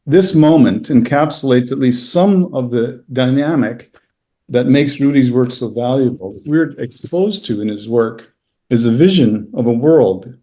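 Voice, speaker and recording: A man whose first language is Low German, a university lecturer reading a prepared reflection in a measured, formal, scholarly way. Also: mellow. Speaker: university lecturer, panel speaker. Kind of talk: prepared reflection read aloud at a book launch.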